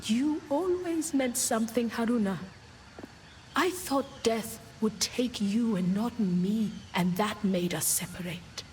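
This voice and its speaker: An actress playing a weary, motherly immortal mentor in a video game cutscene, grave and tender, at times hushed. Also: fairly high pitch, takes it slowly, a little hiss or hum. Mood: tender, remorseful.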